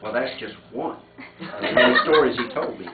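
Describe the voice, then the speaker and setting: A man telling stories and reading aloud informally among family, animated and often breaking into laughter. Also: mid-range voice, brisk. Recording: muffled phone-like audio, echoey, distant, noisy room.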